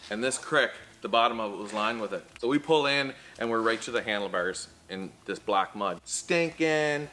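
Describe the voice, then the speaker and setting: A man from Prince Edward Island with a Canadian Maritime accent, telling a casual personal story in a folksy, animated, conversational way. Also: fairly low pitch, normal speed, a little hiss or hum. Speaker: car dealership storyteller and host.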